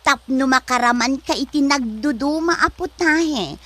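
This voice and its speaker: Woman acting a slow, haughty, high-pitched Ilocano braggart. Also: a bit bright. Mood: boastful. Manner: haughty, drawn-out taunting. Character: vain, mocking young woman, ambitious and boastful. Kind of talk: Ilocano comedy drama acting.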